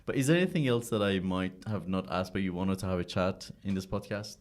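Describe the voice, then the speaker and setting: A man with a non-native English accent hosting a casual podcast interview, friendly and quick to laugh. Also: deep voice. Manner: friendly, laughs often, trailing off softly at sentence ends. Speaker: podcast host. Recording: echoey room.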